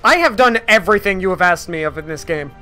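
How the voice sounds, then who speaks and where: A young man with a high, bright voice chatting while he plays a sniper game. He talks quietly in casual banter and blurts out quick reactions, with faint music underneath. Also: lively intonation. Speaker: let's play player. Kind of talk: casual chat while playing.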